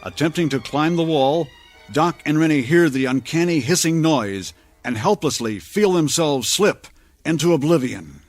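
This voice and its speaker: Male announcer, grand dramatic narration, pulp radio serial style, somewhat high voice, theatrical, clear music bed underneath. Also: animated, moderate pace.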